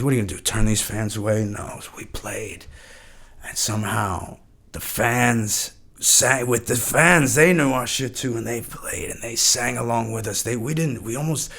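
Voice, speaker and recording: A man's voice: medium pitch, creaky. An actor playing a washed-up eighties rock frontman, rambling and repeating himself nostalgically and grandly in a mock podcast interview.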